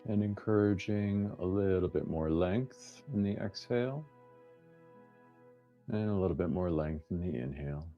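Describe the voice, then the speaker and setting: A man leading a guided meditation as its teacher, speaking in gently inviting instructions with long pauses between phrases. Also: low-pitched, highs cut off, soft background music.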